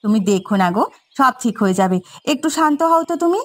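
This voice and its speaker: A woman's voice: high voice, animated, fast talker, no echo. A woman dubbing a worried village wife in a cartoon, fussing and emphatic. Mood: worried, reassuring. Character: worried, scolding yet reassuring village wife.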